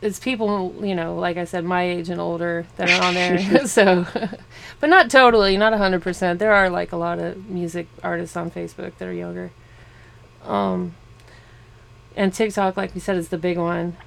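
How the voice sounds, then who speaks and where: Chatty woman in her forties, gravelly. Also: fairly low pitch, fairly even intonation, moderate pace, fairly bright tone. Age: 40s. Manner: chatty, laughs often, trailing fillers. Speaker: interviewer. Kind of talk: casual interview chat. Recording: faint background noise.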